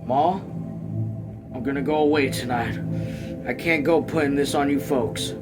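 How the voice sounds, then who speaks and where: A man's voice: mid-range voice, unhurried, over loud music, close to an everyday voice. Man reading as a tired, subdued young farm son. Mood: resolved.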